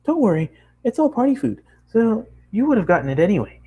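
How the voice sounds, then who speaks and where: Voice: mid-range voice, big pitch swings, brisk, dark tone, breathy edge, voice pushed up. A narrator voicing a bubbly, hyperactive young female pony baker who gushes and chatters nonstop in a cartoon story. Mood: cheerful, gushing. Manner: gushing, squealing, breathless rambling chatter.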